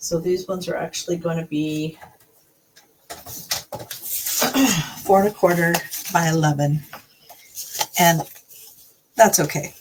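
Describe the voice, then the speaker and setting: Woman craft host, a bit under the weather from a cold, unhurried and slightly low, faint hiss behind. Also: fairly even intonation. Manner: friendly, chatty, with frequent coughs and throat-clearing. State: recovering from a cold, voice a little off. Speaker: card-making channel host. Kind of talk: casual craft tutorial.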